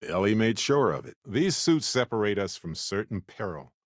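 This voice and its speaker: An actor playing an affable, witty, talkative space explorer in a video game, with an expressive, wry, folksy way of speaking. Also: male voice, lowish voice, normal speed, no echo, clean studio sound. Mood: earnest, gentle. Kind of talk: scripted video game character dialogue.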